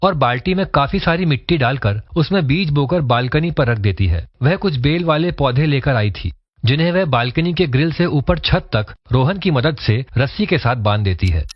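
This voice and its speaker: A man's voice: medium pitch, animated, moderate pace, no room sound. A man reading scripted narration for a cartoon story, in a dull lo-fi recording. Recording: dull lo-fi, dry sound.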